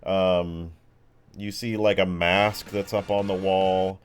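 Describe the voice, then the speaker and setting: A man's voice: sits low, level tone, slow, slight background noise. A man acting as game master, improvising tabletop narration in a casual, hesitant way. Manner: hesitant, piecing descriptions together as he goes.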